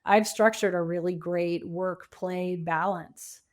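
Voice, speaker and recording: A woman's voice: on the low side, steady tone, takes it slowly. A middle-aged woman answering interview questions conversationally, in a reflective, earnest way with emphasis on key words. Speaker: podcast guest, coach and author.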